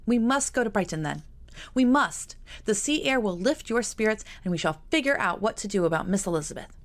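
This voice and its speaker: A woman voicing an earnest, eager young girl in a storybook reading, in a gravelly voice. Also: mid-range voice, expressive, brisk, pitched above the performer's own voice, close to an everyday voice. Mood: urgent. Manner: earnest, pleading.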